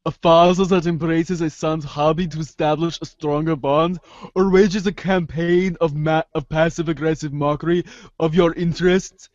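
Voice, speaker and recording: A man acts out an unflappable amateur psychoanalyst in an audio play, formal and wordy, stumbling through long analytical phrases. The voice sits fairly high, and the recording is dry and slightly dull. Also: steady tone, normal speed, darkish tone, no room sound, close to an everyday voice. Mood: probing. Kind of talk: amateur reader's theater acting.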